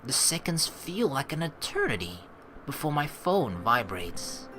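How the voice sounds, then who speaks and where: A woman doing gameplay commentary, reading story text aloud expressively and reacting to it in an animated way. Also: a bass voice, a little slow. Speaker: let's play host reading a visual novel aloud. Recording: game music behind the voice. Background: game music.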